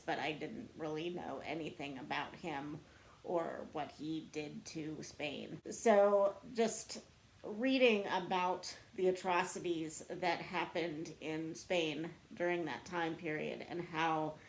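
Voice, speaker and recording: A woman's voice: low voice, slow, slight background noise, rough recording. A woman book vlogger chatting casually to camera in a chatty, self-deprecating way. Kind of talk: casual chat to camera answering book tag questions.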